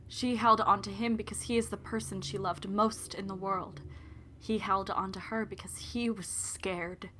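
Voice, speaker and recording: A woman's voice: mid-range voice, bright, forceful tone, slight background noise. A young woman giving scripted narration for an audio drama about characters, as the storyteller. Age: young adult.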